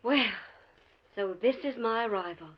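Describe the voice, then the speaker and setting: A woman's voice: a little high, moderate pace, lightly acted. A woman playing a young, teasing, affectionate fiancée in a 1940s radio drama. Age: young adult. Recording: dull vintage radio drama recording.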